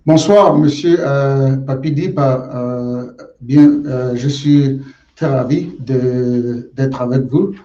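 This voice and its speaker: A man from Gabon, a guest in an interview, answering in a slow, deliberate and reflective way. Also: mid-range voice, mellow. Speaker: guest, martial arts champion. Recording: roomy, dull lo-fi sound.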